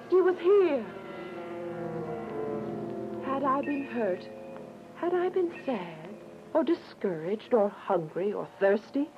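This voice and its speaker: Woman acting an earnest believer, music behind. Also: high-pitched. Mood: joyful. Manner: earnest, emotive. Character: devout, earnest young believer narrating persecution. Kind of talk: dramatic radio drama narration. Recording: muffled audio with music bed.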